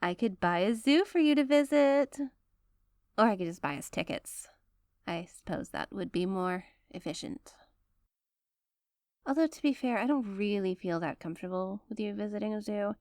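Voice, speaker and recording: A woman's voice: mid-range voice, expressive, moderate pace, darkish tone, no echo, studio quality, natural voice. A woman playing a rich, possessive, doting girlfriend in an intimate ASMR roleplay, sweet-talking one listener in a coaxing way. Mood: playful, possessive.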